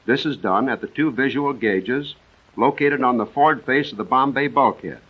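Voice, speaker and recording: A dull, band-limited 1940s film soundtrack carries an American man's voice at a medium pitch. He narrates military instruction from a script, measured and precise, setting out each step in an orderly way. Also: husky.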